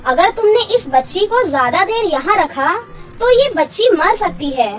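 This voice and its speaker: Woman as high-pitched, wise fairy queen. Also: animated, moderate pace, soft background music. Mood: concerned, advising. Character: wise, caring fairy queen, firm and motherly. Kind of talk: animated fairy-tale cartoon acting.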